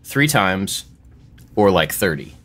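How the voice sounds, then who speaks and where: A man with a husky, fairly low voice chats as a podcast co-host. His pitch moves up and down in a very animated way. The style is loose and conversational. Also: a little slow. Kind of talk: casual chat.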